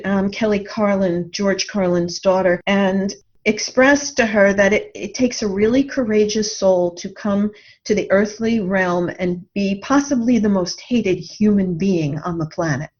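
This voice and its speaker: A woman's voice: medium pitch, a little slow, a little mellow. A middle-aged woman talking reflectively as an interview guest, earnest and thoughtful, telling anecdotes from experience. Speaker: podcast guest, life coach. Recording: dull lo-fi audio.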